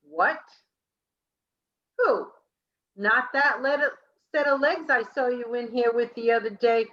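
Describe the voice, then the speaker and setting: A woman's voice: a little high, slow, lo-fi sound. An actress playing a detective's long-suffering girlfriend in a campy 1940s-style noir radio play. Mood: impatient. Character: detective's impatient but easily won-over girlfriend.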